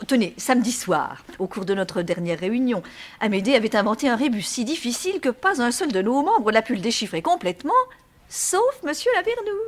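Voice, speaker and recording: An actress playing a chatty, naive and effusive bourgeois wife in a French radio mystery. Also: female voice, a little high. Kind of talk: 1950s-style French radio mystery acting.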